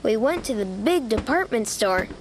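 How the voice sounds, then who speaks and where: A woman reads a children's story in a high, very lively voice. She sounds childlike and eager, like a proud little critter telling the tale, with faint ambient noise behind her. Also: moderate pace. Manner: animated, childlike first-person storytelling. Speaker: storybook narrator. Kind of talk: children's read-aloud narration.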